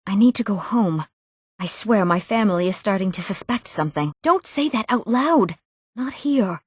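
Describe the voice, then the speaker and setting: A woman's voice: medium pitch, lively intonation, moderate pace, husky, no echo, clean studio sound. A young woman voicing an eager, proud young Nord townswoman in scripted fantasy game dialogue, with an earnest delivery. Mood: guarded, hushed. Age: young adult. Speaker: video game voice actor.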